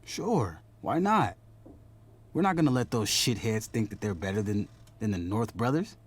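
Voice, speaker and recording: A man's voice: on the low side, expressive, normal speed, breathy edge. A man playing a protective, guilt-ridden older brother, a young man, in a video game drama. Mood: encouraging.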